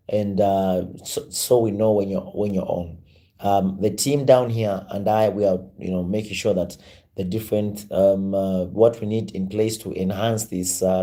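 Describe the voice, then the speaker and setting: A man's voice: lowish voice, dark tone. A Swahili-native man hosting a livestream, making an impassioned address to viewers and repeating phrases for emphasis. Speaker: livestream host.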